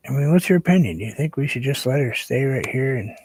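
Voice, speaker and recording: A man hosting a painting livestream, chatting casually and relaxed with viewers, sometimes dropping to a soft whisper as he works. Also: medium pitch, fast, husky, no room sound, rough recording. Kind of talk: casual chat while painting.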